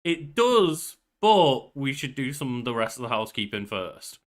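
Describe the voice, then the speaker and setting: A man's voice: mid-range voice, sing-song, normal speed. A young man chatting casually as co-host of a podcast about games. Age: young adult. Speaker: podcast co-host and game streamer.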